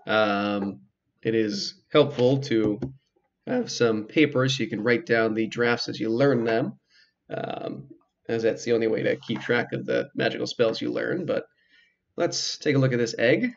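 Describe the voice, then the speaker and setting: A man's voice: medium pitch, a little slow, gravelly, lo-fi sound. A man giving casual, hesitant commentary as he plays through a game. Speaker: game player narrating a playthrough.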